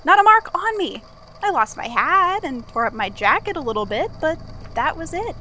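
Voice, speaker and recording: A woman voicing a cheerful, accident-prone male archival assistant in an audio drama, chatty and wry with thoughtful pauses. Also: sits high, big pitch swings, sharp tone, exaggerated voice. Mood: wry, reflective. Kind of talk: confessional monologue in an audio drama.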